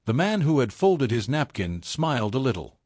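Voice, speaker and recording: A man's voice: medium pitch, big pitch swings, normal speed, a little mellow, no echo, studio quality. A man reading a dramatic romance thriller as a scripted audiobook narration.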